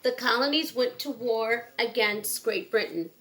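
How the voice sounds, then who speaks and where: Higher-voiced woman reading to children. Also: a little slow, fairly bright tone, slight background noise, low-quality recording. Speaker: children's book reader. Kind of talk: read-aloud of a children's picture book.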